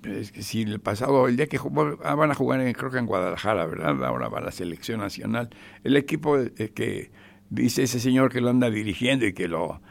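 A man's voice: medium pitch, expressive, fairly quick, creaky, no echo. A man with a Mexican accent giving sarcastic radio commentary, emphatic and repetitive, sometimes dropping to a whisper.

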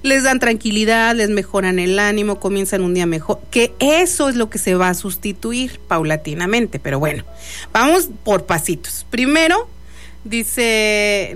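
A woman's voice: mid-range voice, animated, normal speed, a bit bright, faint music behind. A woman hosting live radio, chatting casually and wandering off into asides. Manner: chatty, digressive. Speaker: radio host.

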